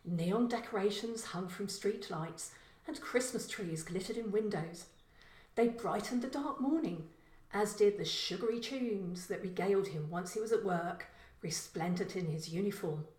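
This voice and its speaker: A woman reading a short story as scripted narration, in a measured, expressive storytelling manner. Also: mid-range voice, echoey room, poor audio.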